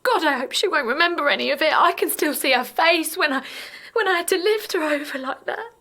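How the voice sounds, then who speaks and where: A woman's voice: high-pitched, brisk, pushed and bright, no room sound, studio quality. A woman playing an anxious, naive young mother in an intimate audio drama. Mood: fearful, guilty. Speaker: actor and narrator in an audio drama. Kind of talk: intimate first-person audio drama acting.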